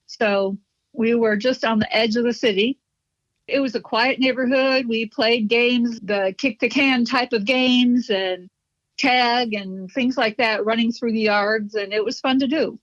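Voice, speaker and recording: A woman's voice: fairly high pitch, fairly even intonation, unhurried, no room sound. Woman, middle-aged, from Chicago, interview guest, chatty reminiscing, dry close sound, slightly muffled. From Chicago, USA. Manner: chatty, reminiscent, light laughs. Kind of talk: conversational interview storytelling.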